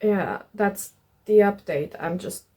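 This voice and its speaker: Young woman doing a chill casual vlog, talks really slowly, kinda sweary. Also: medium pitch, dark tone, no echo. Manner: informal, swearing. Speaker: vlogger, artist.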